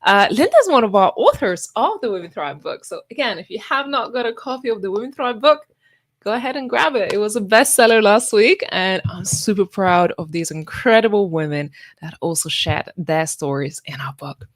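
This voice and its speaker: A woman's voice: medium pitch, expressive, moderate pace, no echo. An upbeat woman hosts a live online summit, rallying her viewers to share and connect. Her voice is dry and close, with no room sound around it. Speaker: summit host. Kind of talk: livestream event hosting.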